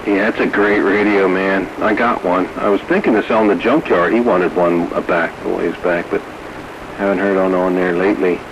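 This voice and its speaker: A deep-voiced man chats over a CB radio with clear static and noise around him. His talk is casual, laid-back and full of slang, like an operator swapping stories. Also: fairly even intonation, normal speed, highs cut off. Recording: noisy, staticky CB radio transmission.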